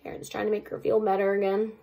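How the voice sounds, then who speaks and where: A woman's voice: mid-range voice, monotone, fast talker, warm tone. A woman, a second-grade teacher, reading a storybook aloud expressively and adding chatty explanatory asides for children.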